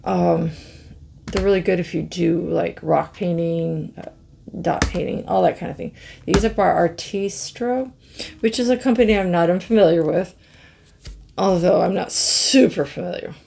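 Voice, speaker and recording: A middle-aged woman with a hoarse, scratchy voice, chatting slowly to viewers as she reviews art pens and marvels at their colors. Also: on the low side, darkish tone. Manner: slow, musing, easily amazed, talks to viewers. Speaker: craft channel host. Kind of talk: casual product review chat.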